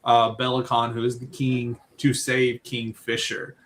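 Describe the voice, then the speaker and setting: A man's voice: mid-range voice, completely flat delivery, unhurried. A man co-hosting a podcast, chatting casually about a book and often ending his thoughts with "right?". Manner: rambling, many fillers and "right?" tags. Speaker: podcast co-host. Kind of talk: casual book-club chat.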